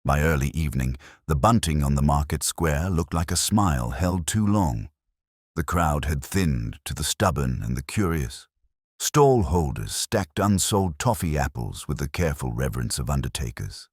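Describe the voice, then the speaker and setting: A man reading a scripted mystery story in a measured, wry, literary way. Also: a bass voice, lively intonation, slightly rough, no room sound, clean studio sound. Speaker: narrator.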